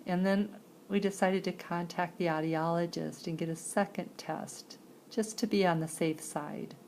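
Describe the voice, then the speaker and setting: Woman, lowish gravelly voice, conversational personal storytelling in halting phrases, faint background noise. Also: steady tone, a little mellow. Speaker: sign-to-voice interpreter.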